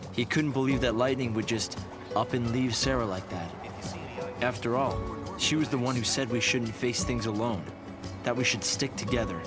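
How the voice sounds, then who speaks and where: An actor playing a thoughtful young research director in a video game, explaining things in a measured, earnest way. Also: male voice, medium pitch, lightly acted. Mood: calm, reflective. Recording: loud orchestral music behind. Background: game music.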